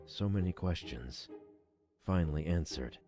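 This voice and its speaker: A man's voice: low voice, expressive, measured pace, dark tone, faint music behind. A man voicing a devoted, stoic rebel spy reading out his journal in a visual novel, solemn and determined. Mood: resolute. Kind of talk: scripted visual novel monologue.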